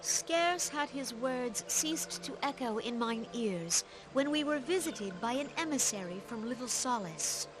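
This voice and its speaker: A woman playing a gentle, solemn spiritual leader in a fantasy game, speaking in stately, measured, archaic formal phrases. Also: fairly high pitch, animated, poor audio. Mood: solemn, earnest. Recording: orchestral music behind the voice.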